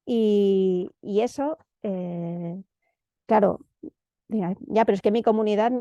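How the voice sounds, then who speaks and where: Mellow Spanish woman presenting, slightly muffled. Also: mid-range voice, takes it slowly, no room sound. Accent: central Spanish. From Madrid, Spain. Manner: hesitant, searching for words, repeating words for emphasis. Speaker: presenter of an urban planning guide. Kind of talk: informal spoken presentation. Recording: dull, lo-fi dry audio.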